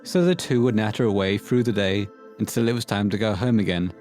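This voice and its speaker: A man's voice: on the low side, fairly even intonation, a little fast, a little mellow, soft background music. A man reading scripted narration as the storyteller of an audio horror play.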